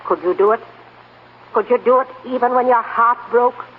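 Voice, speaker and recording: A woman's voice: a little high, normal speed, natural voice. An actress playing a blunt, feisty old pioneer grandmother who encourages firmly, in 1940s radio drama style. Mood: feisty, resolute. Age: older. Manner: blunt, no-nonsense. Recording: tinny, noisy 1940s radio recording.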